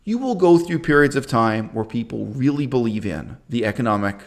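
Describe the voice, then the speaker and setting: A man hosting his own channel, giving an emphatic, opinionated talk on history, with loud bursts that drop to quiet asides. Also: mid-range voice, expressive, a little fast. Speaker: channel host.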